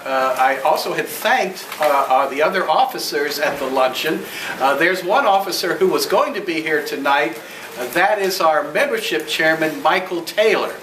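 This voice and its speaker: High-voiced man in an echoey meeting room. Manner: courteous, ceremonious, halting. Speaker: outgoing club president. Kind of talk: formal welcome speech at a club meeting. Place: meeting room, recorded from a distance. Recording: echoey room, distant mic, noisy.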